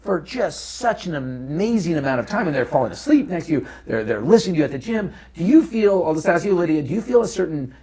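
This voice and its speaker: A man's voice: a little high, sing-song, fast talker, hardly any pauses, warm tone, slightly rough. A man hosting a casual panel chat in a jokey, conversational way, acting out bits as he goes. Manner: jokey, acts out quoted lines. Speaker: talk show host.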